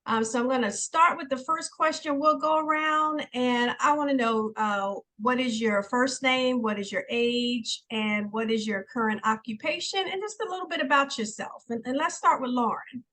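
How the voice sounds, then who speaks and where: A woman moderating a panel, calling on each guest by name with quick short acknowledgements. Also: fairly high pitch, bright, forceful tone, rough recording. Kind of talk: hosting a panel discussion.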